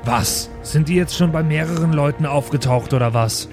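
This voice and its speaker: A man's voice: a little high, moderate pace. Man in casual role-play, music behind. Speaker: player in a tabletop game podcast.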